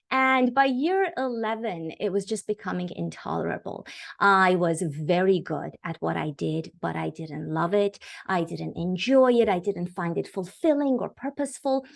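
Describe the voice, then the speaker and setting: Woman from Iran, middle-aged, podcast guest, enthusiastic storyteller, medium pitch. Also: creaky. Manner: warm, enthusiastic storytelling with fillers. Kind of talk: podcast interview.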